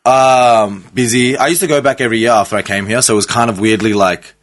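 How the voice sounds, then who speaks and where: A man's voice: medium pitch, fast talker, no room sound. A man in his twenties from Sri Lanka, a native Sinhalese speaker, chatting casually as a podcast guest, laid-back, laughing easily and trailing off mid-thought. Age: 20s. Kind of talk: casual chat. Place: podcast studio.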